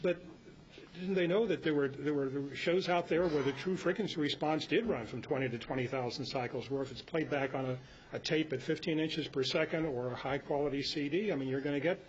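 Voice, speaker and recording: An American man leading an informal club talk, rambling and anecdotal as he presents to the group. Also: brisk. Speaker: meeting presenter. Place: club meeting room. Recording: dull lo-fi meeting recording with rumbly noise.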